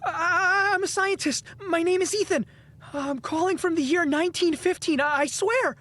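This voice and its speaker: A woman playing an earnest, stammering, excitable teenage boy inventor from 1915 in an audio drama. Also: very high-pitched, moderate pace. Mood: desperate, pleading.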